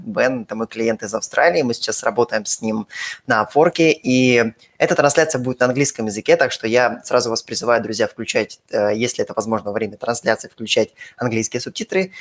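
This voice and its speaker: A man with a Russian accent hosting a live-streamed interview, friendly and searching for words as he repeats his questions. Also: medium pitch. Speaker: host, freelancer interviewing his client. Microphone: video call.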